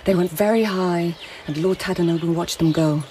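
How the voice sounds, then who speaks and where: A woman's voice: lowish voice, fairly even intonation, moderate pace, warm tone, a little hiss or hum, lightly acted. A woman playing a serious, firm noblewoman investigator of the Japanese imperial court in a radio drama. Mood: intent, recounting. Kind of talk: radio drama acting and narration.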